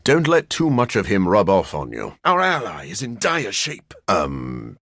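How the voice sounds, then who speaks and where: An actor playing a brooding, erudite vampire scientist in a video game, raspy and gravelly, with formal, precise, deadpan phrasing and a dry sardonic streak. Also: male voice, lowish voice, measured pace, slight background noise, exaggerated voice. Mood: dry, wry. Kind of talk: video game character voice lines.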